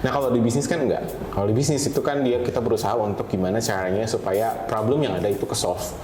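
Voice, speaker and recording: Fast-talking man in an echoey room. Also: on the low side, steady tone, darkish tone, a little hiss or hum. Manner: informal, explanatory, mixes in English terms. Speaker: guest, data scientist. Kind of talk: casual interview chat. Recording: roomy echo, crowd murmur behind.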